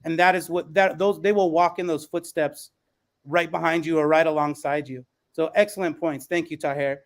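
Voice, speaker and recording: A man with a notably high voice moderates a podcast panel. He responds encouragingly, making his points in measured, emphatic phrases. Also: fairly even intonation.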